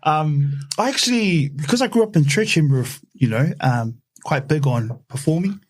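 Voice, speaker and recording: A young man with a New Zealand accent talking in a relaxed interview, often tagging his sentences with "eh". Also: on the high side, normal speed. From West Auckland, New Zealand. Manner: relaxed, says "eh" a lot, frequent fillers. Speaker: podcast guest. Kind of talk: casual interview.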